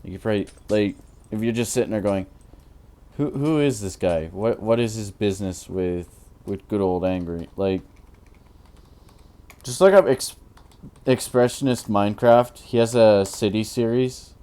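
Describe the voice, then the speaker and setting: A tired man in his twenties with a naturally deep voice, rambling through casual commentary while he builds in a game, full of fillers and restarts. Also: normal speed, long pauses, mellow, clean tone. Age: 20s. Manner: rambling, hesitant, many fillers. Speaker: Minecraft YouTuber.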